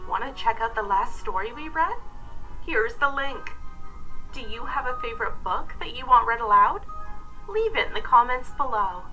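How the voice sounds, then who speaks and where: A woman with a bright, fairly high voice narrates a children's storybook. She reads expressively in a scripted read-aloud style, with faint music around her. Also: animated, normal speed, low-quality recording.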